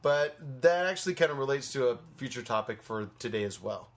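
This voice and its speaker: A man's voice: mid-range voice, expressive, fairly quick. A man hosting a movie podcast in a casual, rambling way, swearing freely as he chats. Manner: rambling, foul-mouthed. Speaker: podcast host.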